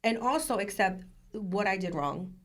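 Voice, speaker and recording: Woman podcast guest, lively and chatty, laughs a lot, mid-pitched voice, plays out quotes in her stories. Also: moderate pace. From Puerto Rican family, from New Jersey. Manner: animated storyteller, laughs often, acts out quotes. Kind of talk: casual interview chat.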